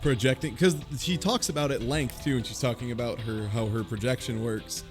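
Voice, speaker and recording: A man's voice: medium pitch, brisk. A man in a casual group discussion, wry and speculative in his way of talking. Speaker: book club podcast panelist. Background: music behind the voice.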